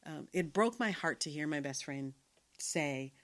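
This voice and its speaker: A middle-aged woman hosts her podcast in a reflective solo talk. Her voice sits low, and she speaks earnestly, often pausing on fillers and restarting her sentences. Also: expressive, fairly bright tone. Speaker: podcast host. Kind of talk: reflective casual monologue.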